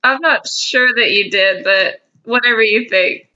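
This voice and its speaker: A woman's voice: on the high side, unhurried, sharp tone, lo-fi sound. A young woman co-hosting a casual podcast, laid-back and giggly. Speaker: podcast co-host.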